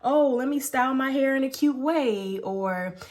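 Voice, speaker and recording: A woman's voice: high-pitched, measured pace, darkish tone. A young woman with a congested, nasal voice talks casually to her vlog viewers. She is pregnant and rambles, piling up fillers and half-finished thoughts. She works oil into her hair at her vanity at home as she talks. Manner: rambling, filler-heavy, frequent "like" and restarts. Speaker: beauty vlogger. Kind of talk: casual chat while doing her hair. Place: home vanity room, indoors.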